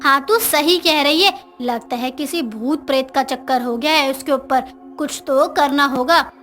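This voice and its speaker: A woman's voice: high-pitched, a little slow, fairly bright tone, faint music behind. A woman playing a stern, scolding middle-aged mother-in-law in a cartoon moral story. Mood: suspicious. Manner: scolding, commanding.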